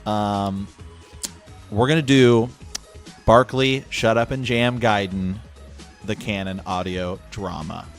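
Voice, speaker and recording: Male podcast host, lower-pitched, casual conversational delivery, faint music underneath. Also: takes it slowly, pauses often.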